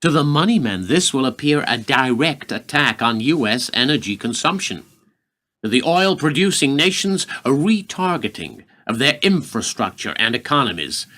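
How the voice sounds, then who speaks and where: An actor playing an earnest, formal and deferential intelligence adviser to a president in a radio play. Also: male voice, medium pitch, very animated, normal speed, bright, forceful tone. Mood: urgent, grave. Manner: formal, deferential, emphatic briefing.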